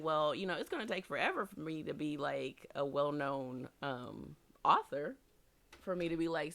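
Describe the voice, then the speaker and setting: A young woman with a deep voice, telling a story in casual chat and laughing often. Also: fairly bright tone.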